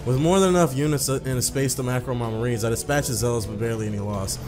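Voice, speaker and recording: A man's voice: medium pitch, quick pace. A young man hosting a gaming video, reading a visual novel aloud in an informal, profane, conversational way. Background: music.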